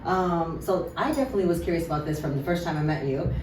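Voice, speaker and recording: A woman hosting an interview, upbeat and quick to laugh. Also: low voice. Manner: enthusiastic, laughs often. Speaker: interview host. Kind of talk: casual interview. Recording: echoey room, mic at a distance, faint noise.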